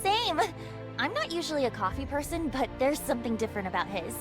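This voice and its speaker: A woman playing a spirited young heroine captain in a video game dub, breezy, informal and expressive. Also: high-pitched, fast talker. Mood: dreamy, chatty. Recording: voice over background music.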